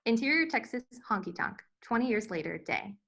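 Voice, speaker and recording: A woman's voice: fairly high pitch, very animated, fairly quick, fairly bright tone. A woman narrator reading screenplay scene directions as scripted narration.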